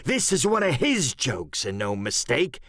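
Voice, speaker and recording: A wily, commanding seafaring rogue, speaking in salty dialect. The voice swings dramatically from low growls to high exclamations, drawling out words in a close, dry sound. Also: male voice, huge pitch swings, a little slow, a bit bright, no echo, heavily acted. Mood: uneasy, grim. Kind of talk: audiobook character acting.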